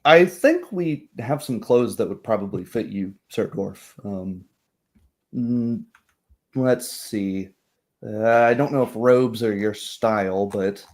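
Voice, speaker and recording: A man plays a temple priestess in a tabletop roleplay game. She speaks in a low register, with dry, businesslike politeness. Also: takes it slowly. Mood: politely insincere. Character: female temple cleric, hospitable but drily mercenary.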